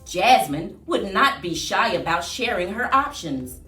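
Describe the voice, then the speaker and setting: A woman reading aloud to children as a storyteller, with an expressive, singsong delivery. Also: mid-range voice, a little slow. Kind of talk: read-aloud storytime with rhyming chant. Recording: roomy echo, distant mic, faint music.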